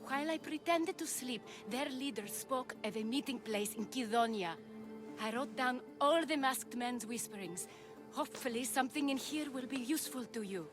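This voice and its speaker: A woman's voice: sits high, moderate pace, over music, lightly acted. A woman playing a brave, hopeful young daughter in a video game, speaking with innocent earnestness. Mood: earnest. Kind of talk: video game cutscene acting.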